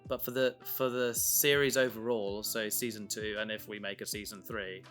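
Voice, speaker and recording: A man in a casual discussion as a co-host, talking informally and haltingly as he thinks aloud. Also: on the low side, fairly even intonation, fairly bright tone. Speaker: co-host, audio drama creator. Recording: music playing underneath.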